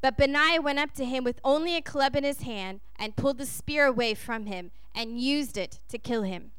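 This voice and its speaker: A woman's voice: high-pitched, moderate pace, a bit bright, clear, resonant tone, dry recording. A woman from Texas preaching in short phrases, with a conversational, playful storytelling manner. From Texas, United States. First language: English. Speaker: guest preacher. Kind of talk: sermon delivered in short phrases for an interpreter.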